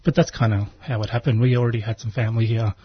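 A man's voice: mid-range voice, steady tone, quick pace, husky, dry recording, dull audio. A man, talking in an easygoing way and laughing often. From Australia. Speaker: guest. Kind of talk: casual conversation.

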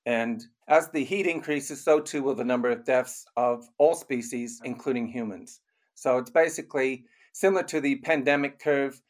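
An older man raised in Canada, an author and criminologist, giving measured, explanatory interview answers. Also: medium pitch.

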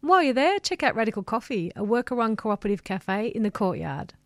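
A woman reading a station sponsor message in a clear, scripted way. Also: fairly high pitch, sing-song, fast talker, no room sound, clean studio sound. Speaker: station announcer.

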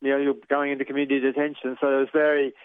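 A man speaking as an advocate over a phone line, his delivery flat and monotone. He hesitates often, filling gaps with ums and uhs. His voice is clear but the line is tinny and dry. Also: mid-range voice, very fast, dry recording. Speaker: refugee advocate guest. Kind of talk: phone interview.

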